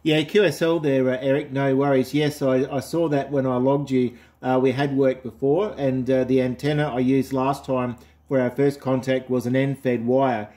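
Mellow Australian man on ham radio. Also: medium pitch, flat delivery, normal speed. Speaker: amateur radio operator. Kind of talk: casual ham radio contact. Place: indoors at a radio set.

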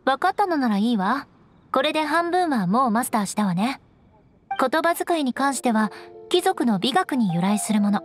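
An actress playing a proud, composed young female knight of noble birth in a Japanese anime game, speaking in a poised, measured, aloof way. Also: high-pitched, sing-song, faint music behind. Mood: poised, instructive. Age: young adult.

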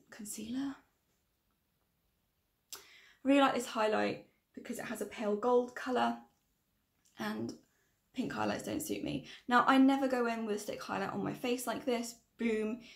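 A woman in her thirties with a croaky, tiring voice, chatting and correcting herself as she presents a casual tutorial to camera. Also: on the high side, echoey room. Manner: chatty, rambling, self-correcting. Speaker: makeup tutorial vlogger. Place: small room indoors.

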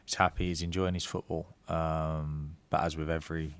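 A man in his thirties, a football manager at a press conference, giving measured answers with frequent ums and restarts into a desk mic. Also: very deep voice, little pitch movement, clear, resonant tone. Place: club press room.

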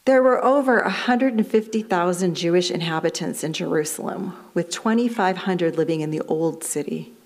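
A woman in her fifties with an American accent, a minister giving a sermon at a lectern microphone in a fellowship hall, explaining history in a measured way. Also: fairly low pitch. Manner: measured, explanatory delivery reading from notes.

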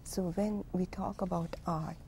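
Lady, 50s, low mellow voice, slow halting answers on a tv studio sofa. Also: fairly even intonation, slight background noise. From India. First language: Hindi. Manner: slow, musing, halting with restarts. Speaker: guest painter and poet. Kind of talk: reflective studio interview answers.

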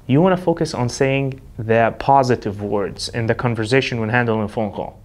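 A man's voice: medium pitch, moderate pace, a little mellow. Man giving an emphatic sales training talk. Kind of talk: instructional talk with acted example dialogues. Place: indoor studio with backdrop.

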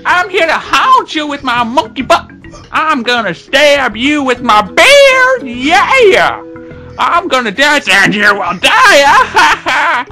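A cranky old ape wizard rants in a gravelly, swooping voice. He is bossy and theatrical, drawing his words out slowly like a stagey cartoon grump. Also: extremely high pitch, sing-song, sharp tone, faint music behind, full character voice. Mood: gleeful taunting. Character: cranky, moody old male ape who dabbles in magic. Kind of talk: amateur cartoon parody dub.

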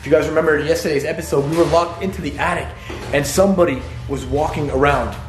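A young man vlogging as he explores an abandoned house, talking excitedly to his viewers and swearing now and then, recorded on a handheld camera. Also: on the high side, fast talker, roomy sound, faint music behind. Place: dark abandoned house, indoors. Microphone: handheld camera mic.

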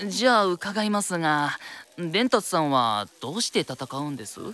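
An actor playing a brash, frank young male hero in a radio drama, with rough, cheeky casual speech. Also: high voice, sing-song, moderate pace, bright, forceful tone, heavily acted. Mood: cheeky, questioning. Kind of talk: anime-style radio drama acting.

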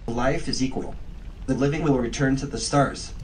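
A man's voice: medium pitch, normal speed, darkish tone, slight background noise, lightly acted. A man playing a haughty, stern temple bishop in a video game fandub, speaking in a pompous, solemn way. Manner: pompous, solemn, archaic phrasing. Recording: distant mic under game music.

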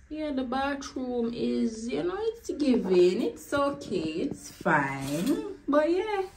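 Slow easygoing woman. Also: medium pitch, noisy background. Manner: relaxed, laughing, slow and easygoing. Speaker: vlogger. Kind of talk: casual chatty vlog. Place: bedroom and bathroom of a home. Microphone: phone camera held close.

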